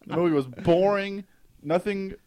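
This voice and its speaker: A man with a high voice, talking in a casual chat. He is blunt and scornful, and he hammers his points home with emphasis. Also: moderate pace, crowd noise.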